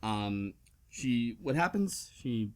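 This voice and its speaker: A man's voice: mid-range voice, expressive, takes it slowly. A man hosting a casual group discussion, often hesitant as he thinks aloud and trails off mid-sentence. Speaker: book club podcast host.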